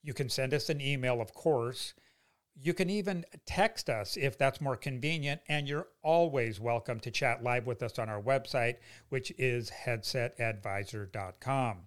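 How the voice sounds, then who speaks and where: A man's voice: medium pitch, unhurried. Middle-aged American man, folksy podcast host. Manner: folksy, explanatory, salesy. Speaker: podcast host, company founder. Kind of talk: conversational product podcast monologue.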